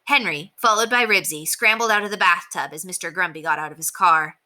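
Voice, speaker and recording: A woman's voice: lowish voice, brisk, bright, forceful tone. A woman reading a children's chapter book aloud as a storyteller, in scripted narration.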